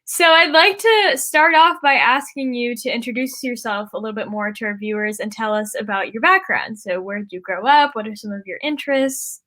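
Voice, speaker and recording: A woman's voice: fairly high pitch, moderate pace, hardly any pauses, bright, forceful tone. A young adult woman hosting an interview show, upbeat and welcoming as she introduces the guest and asks questions. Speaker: host, student interviewer.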